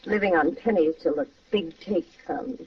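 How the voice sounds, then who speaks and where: A woman's voice: lowish voice, normal speed, a little hiss or hum, close to an everyday voice. A muffled, slightly distant vintage radio recording features a woman acting a seductive, calculating wife. Her delivery is coy and theatrical, in crime-drama style. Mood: coy, scheming.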